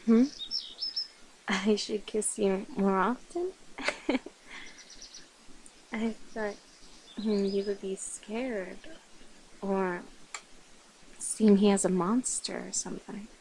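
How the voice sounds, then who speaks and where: Woman as a centuries-old female vampire, ASMR roleplay, very slow halting delivery, soft-spoken, shy, playful, protective, faint background noise. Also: medium pitch, fairly even intonation, rough recording. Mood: flirty, protective. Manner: hesitant, soft-spoken, breathy whispers, nervous giggles. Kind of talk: ASMR roleplay, intimate one-sided dialogue.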